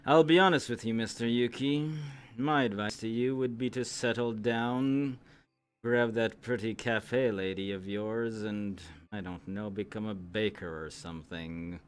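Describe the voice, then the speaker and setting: A man acts out a stern supervisor of fantasy adventurers in an online roleplay. The voice is smooth and resonant, and he talks very deliberately and formally, leaving slow, weighty pauses. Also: fairly low pitch, lightly acted. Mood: stern, blunt. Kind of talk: improvised fantasy roleplay in character.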